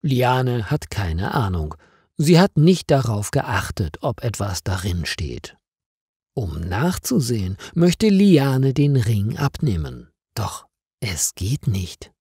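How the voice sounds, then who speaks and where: Slow, mellow male storyteller with lively intonation. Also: mid-range voice, breathy edge, no room sound, studio quality. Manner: deliberate, expressive reading. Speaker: narrator of stories for language learners. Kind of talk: slow, clearly paced scripted story narration.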